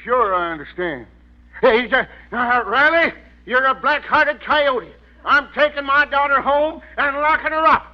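An actor playing an absent-minded, threatening old Wild West father in a 1940s radio comedy. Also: very high voice. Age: older. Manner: cowboy talk, drawn-out threats. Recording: muffled vintage radio recording.

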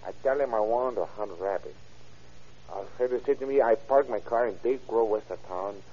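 A man playing a frightened young Mexican murder suspect in an old radio crime drama, speaking halting English with a Mexican Spanish accent. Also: mid-range voice, dark tone, natural voice. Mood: resigned, confessing. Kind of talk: 1930s radio acting. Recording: muffled old radio recording.

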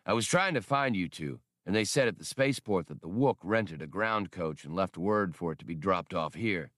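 Big old spacefaring trooper played by a male reader: gravelly, low, folksy and sly, storybook adventure. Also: expressive, normal speed, a bit bright, dry recording, clean studio sound. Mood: warm, hearty. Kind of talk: audiobook character dialogue.

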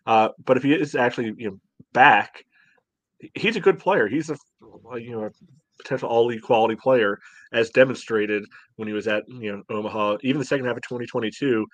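A man's voice: medium pitch, brisk, fairly bright tone, no room sound. An opinionated man giving casual sports commentary as a podcast panelist, often saying "you know".

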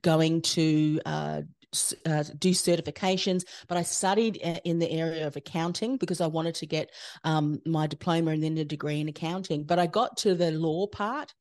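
A middle-aged Australian woman, a podcast host being interviewed, telling her career story in a reflective, steady way with soft fillers. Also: sits low, normal speed, no room sound, clean studio sound. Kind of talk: casual conversational interview.

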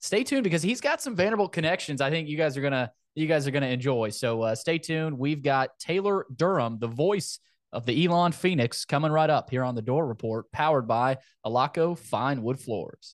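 A man's voice: fairly high pitch, expressive, brisk, no echo, studio quality. A man hosting a sports podcast in casual talk, often repeating phrases for emphasis.

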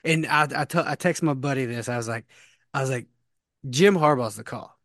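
A man's voice: medium pitch, fairly quick, fairly bright tone, no echo, clean studio sound. A man co-hosting a casual sports podcast, rambling and repeating himself as he talks. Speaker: podcast co-host.

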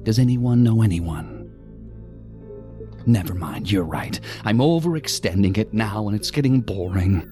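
An actor playing a menacing, talkative intruder with dark sardonic humour in an audio drama, taunting and rambling as he goes. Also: fairly low pitch, unhurried. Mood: taunting, playful. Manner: taunting, rambling, deadpan-joking. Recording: music under the voice.

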